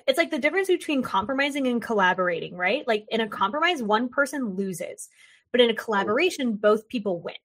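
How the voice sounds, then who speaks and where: A woman hosting an interview, chatting in an animated, jokey way and acting out little quoted voices as she talks. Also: on the high side, quick pace. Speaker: podcast host. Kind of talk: casual interview chat.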